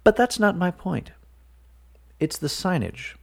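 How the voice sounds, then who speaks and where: A man voicing a large, weary male businessman who speaks in a dry, low-key, reluctant way. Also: sing-song, measured pace, creaky, breathy edge, exaggerated voice.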